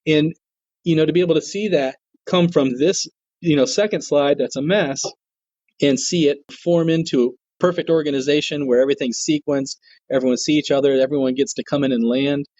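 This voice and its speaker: A man's voice: a little high, fairly even intonation, fairly quick, a little mellow, no room sound, highs cut off. A man speaking as an interview guest, an air traffic control manager explaining his work in an earnest, folksy, conversational way.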